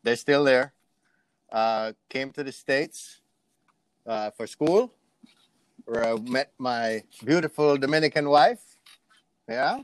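A man from Jamaica talks as a podcast guest in a mid-pitched voice. He tells personal stories casually and easily, recorded dry with no room sound. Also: slow pace, long pauses, smooth, no echo. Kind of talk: casual chat, telling personal anecdotes.

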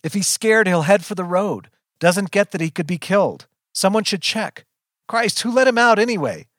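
A man playing a frantic, hot-tempered story character who yells with desperate impatience. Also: high-pitched, expressive, moderate pace, no echo, clean studio sound, voice pushed up. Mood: frantic, angry.

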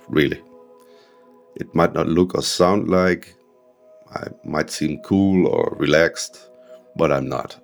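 This is a man whose voice sits extremely low. He hosts his own podcast in a frank, self-deprecating way and drops casual swear words. Faint music plays underneath. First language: German. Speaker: podcast host, music producer. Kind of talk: solo podcast monologue.

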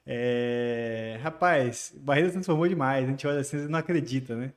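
A man, a guest on a podcast, telling stories in a relaxed way and often ending sentences with tag questions. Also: mid-range voice, normal speed. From Bahia, Brazil. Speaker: guest, construction company chief. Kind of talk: casual podcast interview.

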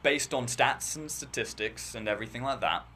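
A teenage boy with a low bass voice, chatting casually as podcast co-host, rambling and talking in bursts. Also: moderate pace, pushed and bright, slight background noise. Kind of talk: casual chat.

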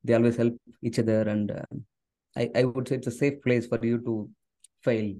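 A man's voice: mid-range voice, little pitch movement, moderate pace, dark tone. A middle-aged man from southern India whose first language is Tamil, talking casually as a podcast guest, with many fillers. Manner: conversational, many "uh" and "I mean" fillers. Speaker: podcast guest, software engineer and author. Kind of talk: casual interview conversation.

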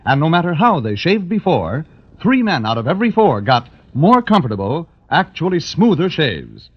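A man reading a 1940s radio commercial in a rough, husky voice. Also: a little high, sing-song, moderate pace. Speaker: commercial announcer. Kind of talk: 1940s radio advertising pitch. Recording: dull, lo-fi old radio recording.

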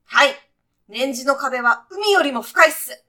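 A woman's voice: high voice, expressive, measured pace, bright, forceful tone, heavily acted. A woman playing a brash, breezy guide to heaven in a comic radio sketch, talking in punchy, clipped, casual slang like a sporty junior employee. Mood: breezy, matter-of-fact.

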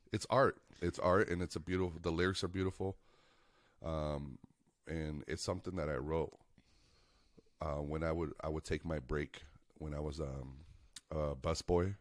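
A man co-hosting a casual podcast, stuffy from allergies, telling stories in a relaxed, rambling way with lots of fillers. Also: rumbling low, no room sound, studio quality. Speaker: podcast co-host and piano player. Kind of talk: casual chat.

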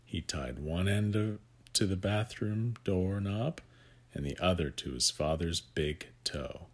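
A man reading a picture book aloud to young children, with gentle asides that guide the listener. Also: deep voice, takes it slowly.

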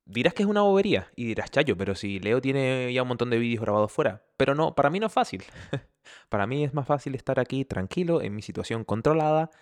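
A man's voice: mid-range voice, lively intonation, quick pace. A young man from the Canary Islands with a Canarian Spanish accent, hosting a casual podcast from home with chatty, joking asides. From Canary Islands, Spain. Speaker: podcast host. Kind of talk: casual chat. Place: at home, indoors.

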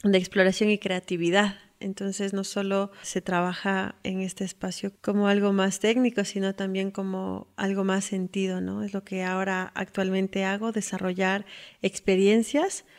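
A woman in her thirties with a Quito accent, an outdoor guide speaking in an unhurried, reflective way and pausing on fillers. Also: medium pitch, flat delivery. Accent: Ecuadorian Spanish, Quito. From Ecuador. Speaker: outdoor guide and tour operator founder. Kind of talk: personal self-introduction.

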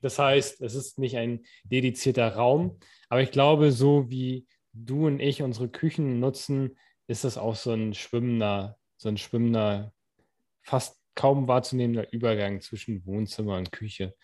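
A man's voice: medium pitch, fairly even intonation, unhurried, a little mellow. Playful man bantering on a podcast. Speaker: podcast co-host. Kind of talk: casual chat. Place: home kitchen open to the living room.

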